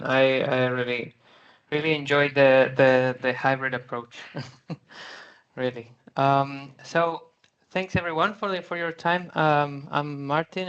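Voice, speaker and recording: A man's voice: mid-range voice, a bit bright, clear, resonant tone, lo-fi sound. A man from Spain with a Spanish accent, a guest speaker giving a friendly but hesitant presentation at a virtual user group, with many fillers and short laughs. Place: online video call.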